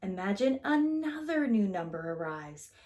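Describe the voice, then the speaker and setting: A woman reading a children's picture book aloud as a preschool teacher, with an expressive, theatrical, sing-song delivery. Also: mid-range voice, moderate pace.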